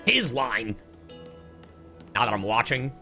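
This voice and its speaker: A man streaming a game playthrough with excitable, profane, playfully exasperated commentary. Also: medium pitch, takes it slowly, soft background music. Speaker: streamer, VTuber.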